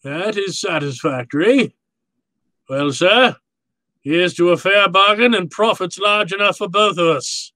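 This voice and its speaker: An actor playing a suave, wordy crime boss with a pompous, mock-courtly manner in a 1940s-style radio drama. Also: male voice, high-pitched, unhurried, pushed and bright, no echo. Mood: smooth bargaining.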